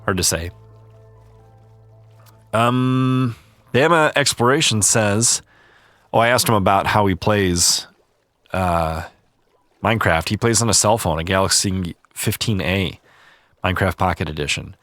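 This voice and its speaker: A man with a low, unhurried voice chats easygoing through his gameplay and reads viewer comments aloud. His nose sounds a little stuffy, and soft music plays behind him. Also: dry recording. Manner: calm, easygoing, chatty. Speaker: gaming channel host. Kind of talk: relaxed commentary while reading comments.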